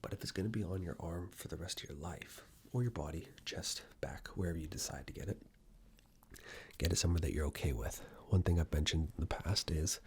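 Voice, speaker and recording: Male ASMR host: low, very husky, hushed and half-whispered, chatting intimately to camera. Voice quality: hushed, breathy, often dropping into a whisper. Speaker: ASMR video creator and host. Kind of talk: ASMR, soft-spoken personal ramble to camera.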